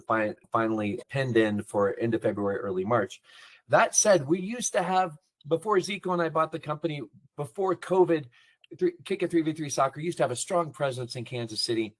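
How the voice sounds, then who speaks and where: A male podcast host talks in a loose, casual way at a middle pitch. He sounds eager as he promotes events and introduces his guest, and he is quick to poke fun at his own boasting. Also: low-quality recording. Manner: enthusiastic, self-deprecating promoter.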